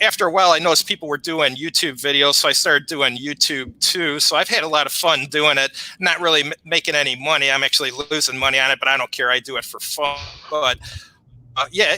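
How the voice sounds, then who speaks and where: A middle-aged man with a Chicago accent chatting casually as an interview guest, rattling along in a rapid-fire, chatty way. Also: fairly high pitch, bright, forceful tone, dry recording, rough recording. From Chicago, United States. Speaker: guest, war game reviewer.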